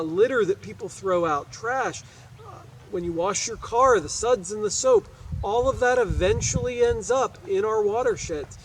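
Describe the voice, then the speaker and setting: A man's voice: sits high, slight background noise. An American man reading aloud as a teacher, explaining things in an instructive way and checking that listeners follow. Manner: instructive, asks questions and checks understanding. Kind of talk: educational read-aloud with explanatory asides.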